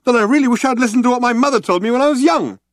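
A man's voice: fairly high pitch, big pitch swings, unhurried, no echo, studio quality, pitched above the performer's own voice. A man voicing a hapless, exasperated human traveller in a comic audiobook, querulous and rueful.

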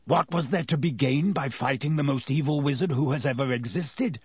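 A man voicing a fierce, embittered gaunt man in an audiobook, harsh and croaky, with forceful accusing delivery. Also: mid-range voice, sing-song, slow, no echo, studio quality, heavily acted. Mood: righteous fury. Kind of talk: audiobook character voice.

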